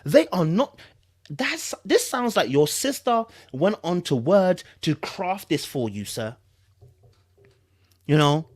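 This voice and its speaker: An animated British man hosts casual reaction commentary in a fairly high voice that swings widely in pitch. The sound is dry and close, and his delivery is slangy and incredulous. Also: slow pace, long pauses, no room sound. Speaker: online commentary host.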